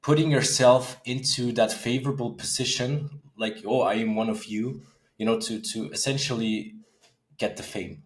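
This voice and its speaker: A man's voice: mid-range voice, moderate pace. A man in his twenties with an Austrian German accent, chatting casually as a podcast guest. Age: 20s. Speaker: podcast guest, author and men's coach. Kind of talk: casual interview chat.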